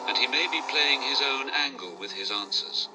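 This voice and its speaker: A man's voice: medium pitch, moderate pace, natural voice. A man playing a courteous, protective butler who gives formal, polite advice, in a fan audio drama with faint vehicle noise. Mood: advising.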